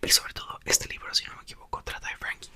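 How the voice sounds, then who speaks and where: A young man, whispering softly into a close mic as he chats about a book for ASMR. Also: quick pace. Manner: soft whisper throughout. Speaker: ASMR creator. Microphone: small mic clipped to a doll's hair.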